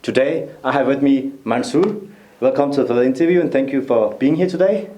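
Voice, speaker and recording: A man hosting an interview, asking questions in a halting way with frequent fillers. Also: mid-range voice, warm tone. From Europe. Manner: hesitant, halting phrasing with fillers. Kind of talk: casual on-location interview. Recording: roomy, distant mic with faint noise.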